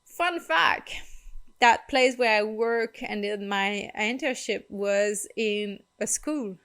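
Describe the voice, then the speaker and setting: Woman host, French-Canadian accent, unhurried delivery with frequent ums and light laughs. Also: mid-range voice, fairly bright tone. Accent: Quebecois French. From Quebec, Canada. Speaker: channel host. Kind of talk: personal vlog storytelling.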